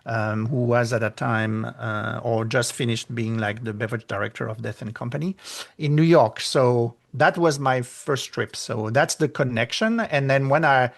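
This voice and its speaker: Gravelly French-accented man, halting. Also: medium pitch. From France. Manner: halting, many fillers, lively when retelling exchanges. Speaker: author and guest. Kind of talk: casual interview chat.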